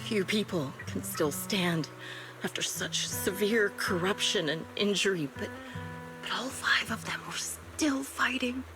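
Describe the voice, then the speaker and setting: An actress playing a haunted, vengeful ex-soldier woman in a video game, measured and brooding, coldly resigned as she speaks. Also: mid-range voice, animated, music in the background, natural voice. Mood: grave, grieving. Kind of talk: scripted video game story acting.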